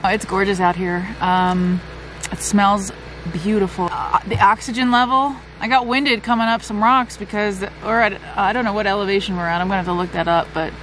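A woman's voice: mid-range voice, moderate pace, fairly bright tone, a little hiss or hum. A middle-aged woman chatting casually as she hosts a travel vlog, recorded on a camera mic. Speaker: vlog host. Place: cabin interior and wooded campsite.

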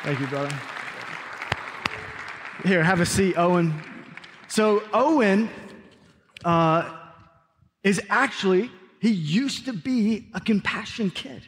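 A man preaching to his congregation as pastor, energetic, with call-and-response asides, then interviewing a guest on stage. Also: high-pitched, slow pace, long pauses, a faint crowd, slight background noise. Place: large church sanctuary. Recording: reverberant church hall.

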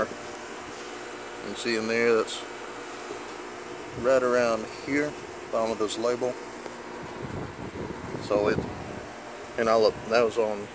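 A man giving a relaxed, rambling review of his gear outdoors, recorded on a handheld phone. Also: fairly low pitch, noisy background. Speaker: product reviewer. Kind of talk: casual product review. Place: outdoors on a lawn beside a carport. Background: running engine and spraying water.

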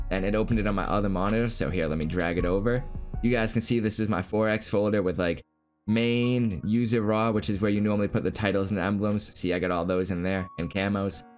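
A man in his twenties giving a casual, informal tutorial, rambling a little as he explains step by step. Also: on the low side. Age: 20s. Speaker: gaming video creator. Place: small room indoors at home. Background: background music.

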